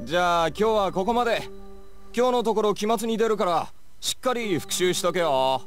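A man's voice: high-pitched, lively intonation, very fast, soft background music. A man voicing a laid-back schoolteacher lecturing his class in an anime visual novel. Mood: casual, instructing.

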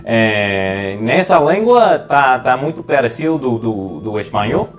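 A young man with an American accent talks haltingly, repeating words and reaching for fillers. His voice sits at a middle pitch. The recording comes from a small living room with faint music behind him. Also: sing-song. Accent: American English. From United States. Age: 20s. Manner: hesitant, many repeated words and fillers while switching languages. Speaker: guest. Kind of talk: casual podcast chat.

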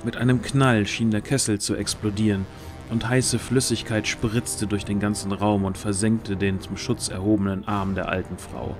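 A man's voice: on the low side, flat delivery, moderate pace, over music. A man narrating a scripted fantasy audio drama as its storyteller.

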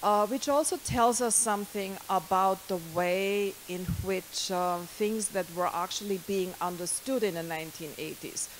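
A middle-aged woman, lecturing at a university into a handheld mic, pausing often with fillers and restarts. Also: medium pitch, slight background noise, poor audio. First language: German. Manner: hesitant, many fillers and restarts. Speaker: guest lecturer. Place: lecture hall.

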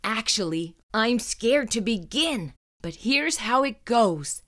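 Female children's storyteller, higher-pitched and very animated, dramatic suspenseful delivery. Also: slow. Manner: suspenseful, theatrical, emphatic. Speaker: storyteller reading a children's book aloud. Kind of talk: dramatic read-aloud narration.

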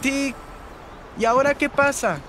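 A woman's voice: high voice, a little slow, very noisy. A woman voicing a confident, adventurous young male leopard cub in a children's cartoon, bold and a little impatient. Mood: encouraging. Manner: bold, encouraging, slightly impatient. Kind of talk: animated children's cartoon dialogue. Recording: loud music behind the voice.

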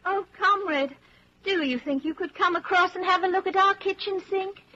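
A very high, sharp-toned timid housewife comes through muffled old radio sound. She is hesitant, fussy and apologetic, a flustered mother in a 1940s drama. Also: normal speed.

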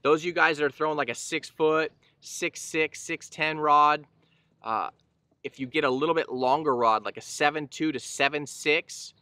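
Casting note: thirties male angler-host, bright crisp delivery, casual explainer, recorded outdoors on a boat. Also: fairly high pitch, steady tone, normal speed, no echo. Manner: easygoing, explanatory, frequent fillers and restarts. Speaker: fishing channel host. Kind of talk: casual instructional talk to camera.

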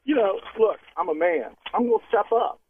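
Man, radio caller, casual chat, highish pitch, very lively intonation, muffled phone audio. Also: fairly quick, a little hiss or hum. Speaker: radio show caller, truck driver. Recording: dull lo-fi phone call.

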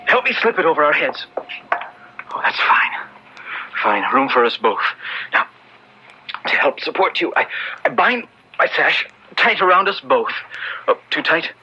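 An actor in an old radio drama playing an earnest, devout young clergyman, halting and urgent, often repeating himself. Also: on the high side, sing-song, bright, forceful tone, heavily acted. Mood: hushed, urgent. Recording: dull, noisy old radio drama recording.

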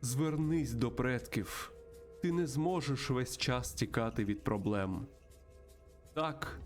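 A man voicing a wise, solemn spirit guide in a western game, giving slow mystical counsel. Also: mid-range voice, a little mellow. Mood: solemn, encouraging. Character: solemn wise male spirit guide, last of his kind.

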